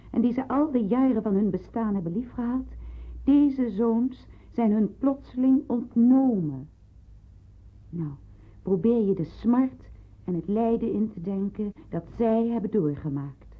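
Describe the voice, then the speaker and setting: Radio drama nurse: unhurried, emphatic, kindly yet admonishing; thin, narrow-band vintage sound. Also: female voice, mid-range voice. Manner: deliberate, earnest, emphatic. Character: kindly, grave senior nurse, motherly and admonishing. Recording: muffled narrow-band old radio recording.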